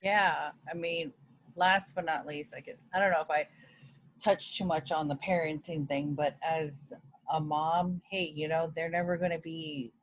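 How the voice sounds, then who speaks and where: A woman's voice: deep voice, fairly even intonation, a little slow, poor audio. A woman from Hawaii, a guest on a video call interview. She speaks in an earnest, reflective way. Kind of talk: casual interview chat.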